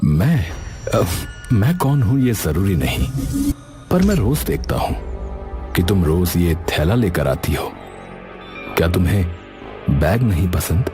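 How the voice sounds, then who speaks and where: Cartoon mystery stranger, male actor: deep, very animated intonation, coaxing, halting and secretive, music behind. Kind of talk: cartoon moral story acting.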